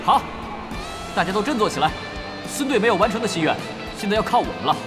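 An actor playing a proud, driven young esports captain in an audio drama, expressive and dryly sardonic. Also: male voice, high-pitched, fast. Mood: rousing, determined. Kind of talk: Mandarin audio drama acting. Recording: dramatic music bed behind the voice. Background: prominent background music.

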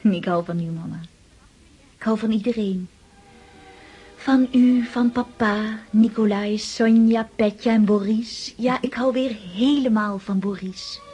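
An actress playing an impulsive, affectionate young countess in love in a radio drama, girlish and effusive, with a breathy, husky voice. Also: a little high, fairly even intonation, measured pace, mellow, faint music behind, close to an everyday voice. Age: young adult.

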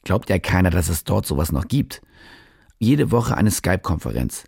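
A man's voice: low voice, very animated, moderate pace, gravelly, a little mellow, slightly rough, no room sound, clean studio sound, heavily acted. A man playing a jaded war reporter who narrates his own story in a radio drama. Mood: dry, cynical. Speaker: narrator and protagonist.

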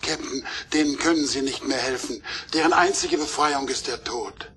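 An actor playing a small, wise robot in a dramatic audio play, precise, measured and grave. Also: male voice, medium pitch, bright, forceful tone, slight background noise, natural voice. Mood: resigned.

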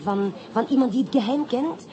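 An actress playing an intuitive, self-assured inspector's wife in a radio play, theatrical, insistent and playful. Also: female voice, a little high, normal speed, warm tone, highs cut off, lightly acted. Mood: intrigued.

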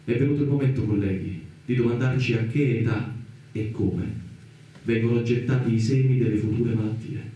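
An earnest male visionary scientist played by an actor lectures his colleagues in a radio play. His voice is mellow and mid-pitched, with declamatory, deliberate emphasis. It echoes heavily, as if heard far from the mic. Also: steady tone, muffled recording, lightly acted. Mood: urgent, pleading. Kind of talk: radio theatre monologue, formal speech.

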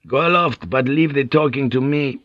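Man playing a brash, swaggering Portuguese ship's pilot, raised pitch. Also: normal speed, no echo, highs cut off, lightly acted. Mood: cocky. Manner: cocky, bluffing, coarse-mouthed.

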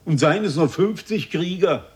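An actor playing a meek, poor little bookseller in a radio play, timid, deferential and pleading in manner. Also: male voice, a little high, animated, moderate pace, close to an everyday voice. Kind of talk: German radio play acting.

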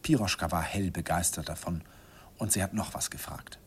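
An actor playing a nostalgic older man who recalls his shy first love in an unhurried, wistful radio play narration. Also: deep voice, slightly rough. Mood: wistful, reminiscing. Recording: vintage radio recording with music behind.

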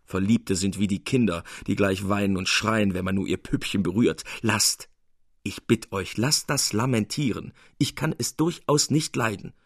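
A commanding master painter in a storybook, voiced by a male narrator. He speaks emphatically and with sardonic bite. The voice has a husky edge and a dry, close sound. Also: fairly low pitch, normal speed, no room sound, pitched below the performer's own voice. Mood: brusque, impatient. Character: bold, mocking master painter, loud and commanding.

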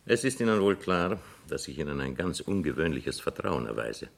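A haughty aristocrat with an extremely low, gravelly voice. He speaks formally and with measured command, as a man used to being obeyed, in classic radio drama style. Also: very animated, exaggerated voice. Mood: stern, insistent. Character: haughty, commanding nobleman client of a London banker. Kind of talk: German radio drama acting.

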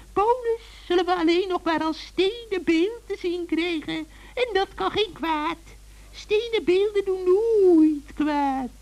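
Very shrill, creaky old witch. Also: full character voice, low-quality recording. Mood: gloating. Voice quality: ugly shrill cackling voice. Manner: giggling, drawn-out, theatrical gloating. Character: gleeful, gloating, malicious old forest witch. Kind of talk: children's radio play acting.

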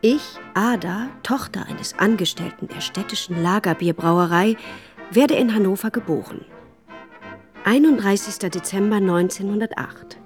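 An actress as an educated, critical woman journalist telling her own story in a radio play. Her mid-pitched voice is measured and dry, like someone reading out a chronicle, with faint music underneath. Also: natural voice. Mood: composed, wry. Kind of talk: scripted first-person radio drama narration.

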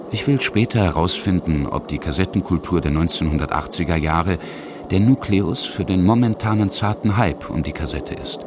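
A man's voice: deep voice, background noise. A middle-aged man from Bavaria reading scripted narration for a radio documentary. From Bavaria, Germany. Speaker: radio feature author and reporter.

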